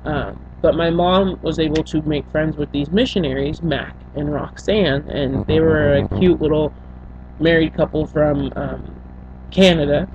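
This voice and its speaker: A young woman from Senegal tells her life story to a webcam in a small bedroom. She talks in a halting way, with many fillers and restarts. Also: low voice. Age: young adult. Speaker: vlogger. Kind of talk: personal storytelling to camera. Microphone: built-in webcam mic. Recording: dull lo-fi webcam audio with faint hum.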